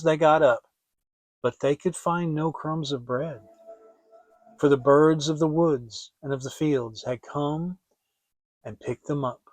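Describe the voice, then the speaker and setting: A man reads a fairy tale as a dramatic audiobook narrator. His fairly high voice is expressive and theatrical, captured dry with no room sound. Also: dry recording.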